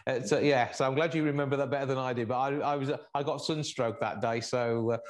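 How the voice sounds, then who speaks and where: A man's voice: medium pitch, fairly even intonation, fast talker. Upbeat male radio host. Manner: upbeat, plugs events and phone numbers. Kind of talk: radio chat and promotion.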